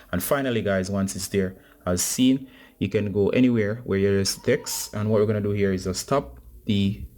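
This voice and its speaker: A man with a low-pitched voice hosts a tech tutorial. He talks viewers through each step in an informal, instructional way, as if chatting to a group of guys. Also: darkish tone. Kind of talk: casual step-by-step phone tutorial.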